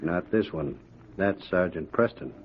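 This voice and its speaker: A man playing a rough, uneasy outlaw henchman who defers to his boss in an old-time radio adventure. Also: low voice, a little slow, a crowd in the background. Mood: wary. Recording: dull, noisy old radio recording.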